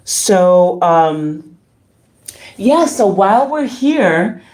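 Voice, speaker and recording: A slightly hoarse woman hosting a casual livestream, reading and answering viewer comments in a halting, effusive way. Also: mid-range voice, big pitch swings, darkish tone. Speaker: livestream host.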